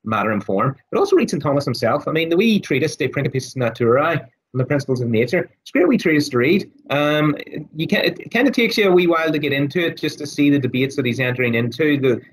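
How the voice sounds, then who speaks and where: Raspy, hoarse man explaining thoughtfully. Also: a little high, lively intonation, quick pace, few pauses, low-quality recording. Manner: thoughtful, many fillers and restarts. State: hoarse, losing his voice. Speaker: guest scholar on Aquinas. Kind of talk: casual interview talk.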